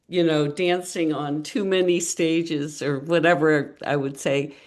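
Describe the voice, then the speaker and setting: A woman's voice: sits low, fairly even intonation, unhurried, darkish tone. A woman hosting an online storytelling gathering, introducing each speaker in a rambling, enthusiastic way. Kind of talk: warm introductions on a video call. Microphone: video call.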